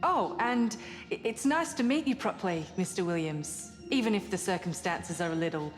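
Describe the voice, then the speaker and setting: An actress playing a polite, eager spaceship crew member in a video game, deferential and a little hesitant. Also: female voice, on the low side, lively intonation, normal speed, natural voice. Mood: deferential, awkward. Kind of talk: cinematic video game acting. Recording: reverberant room, music underneath.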